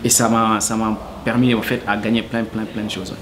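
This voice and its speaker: A man's voice: on the low side, little pitch movement, fairly quick, a crowd in the background. A man from Senegal, answering interview questions earnestly and hesitantly, full of fillers. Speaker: cook, interviewee.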